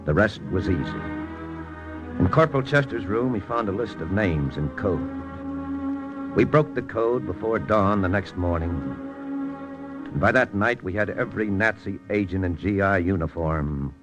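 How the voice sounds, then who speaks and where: A man's voice: low-pitched, animated, normal speed, music in the background. An actor playing a brooding American intelligence lieutenant who narrates his mission tersely in old-time radio drama style. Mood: sober, regretful. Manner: terse, dramatic, hard-boiled delivery. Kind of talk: 1940s-50s radio drama acting. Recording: noisy vintage radio drama recording.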